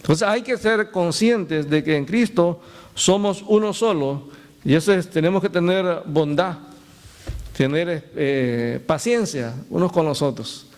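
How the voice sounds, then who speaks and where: Male pastor, high-pitched, conversational preaching with asides to the flock. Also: measured pace. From El Salvador. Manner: storytelling with laughs and direct appeals to the congregation. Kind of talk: sermon.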